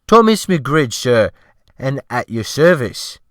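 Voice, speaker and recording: A man's voice: medium pitch, sing-song, takes it slowly, fairly bright tone, dry recording, clean studio sound. A man voicing a servile, effeminate ship's cook with an oily, obsequious manner, in an audiobook. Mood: fawning, grateful. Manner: oily, obsequious, over-grateful.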